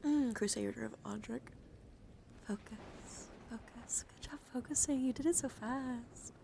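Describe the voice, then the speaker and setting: A woman doing an ASMR livestream, chatting softly to viewers and often slipping into breathy whispers, repeating words soothingly. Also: a little high, unhurried, slight background noise. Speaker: streamer.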